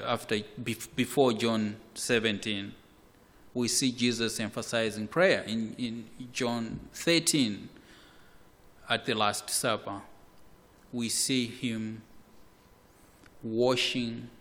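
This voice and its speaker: A man from Malawi, preaching a sermon in a slow, measured way with repeated words. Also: medium pitch. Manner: slow, measured, with repetitions and occasional soft laughs. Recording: hall reverb.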